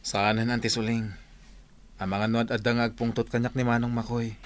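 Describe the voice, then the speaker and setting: Radio drama: a man as a wronged younger brother, Ilocano accent, earnest, mid-pitched. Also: flat delivery, fairly quick, a little hiss or hum, lightly acted. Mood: protesting. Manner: earnest, protesting.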